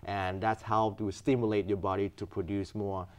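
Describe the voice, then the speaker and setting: A man from Vietnam with a fairly low voice. He speaks earnestly and patiently, explaining his medical work point by point. His English has grammar. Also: flat delivery, normal speed. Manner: earnest, explaining step by step, non-native grammar. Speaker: physician guest. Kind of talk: explanatory interview answers.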